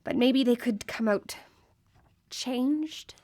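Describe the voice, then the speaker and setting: A driven, earnest woman with a fairly high voice. She speaks intently and haltingly, as live radio drama. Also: a little mellow. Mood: guarded, intent. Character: earnest, driven woman hunting for her lost friend.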